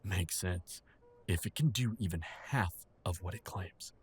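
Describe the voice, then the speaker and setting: A man acting a supportive older husband and father in an audio drama, steady, reassuring and given to gentle teasing. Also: sits low, measured pace, a little hiss or hum. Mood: sober.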